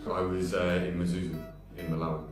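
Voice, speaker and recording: A man in his twenties answering interview questions about volunteering, often pausing with fillers. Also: sits low, level tone, over music. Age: 20s. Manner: hesitant, with many uh fillers. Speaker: returned volunteer. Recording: echoey room from far off.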